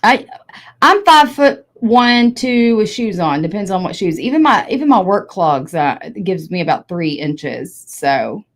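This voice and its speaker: A woman's voice: medium pitch, sing-song, a little slow. A woman in her forties chatting casually, blunt and candid with the odd swear. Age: 40s. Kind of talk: casual chat.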